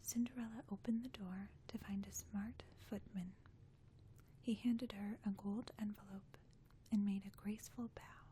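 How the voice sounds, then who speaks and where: A woman speaks in a breathy, gentle whisper with a dark, mellow tone. She sounds soothing, like a caring friend doing ASMR bedtime reading close to the microphone. There is some faint noise in the recording. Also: medium pitch, fairly even intonation, a little slow.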